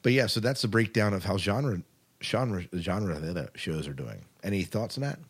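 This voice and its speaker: A man's voice: fairly low pitch, lively intonation, moderate pace, husky. An American man hosting a podcast, reading news items and chatting casually between them. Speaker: podcast host. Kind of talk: podcast news segment, partly read, partly casual chat.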